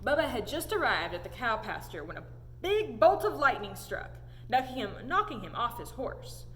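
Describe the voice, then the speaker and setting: A woman reads a children's storybook aloud. Her voice sits fairly high and moves around with lots of expression. The room rings like a hall, with some noise behind her. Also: big pitch swings, moderate pace, a bit bright. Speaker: story time reader. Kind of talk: animated storybook read-aloud. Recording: echoey hall, audible background noise.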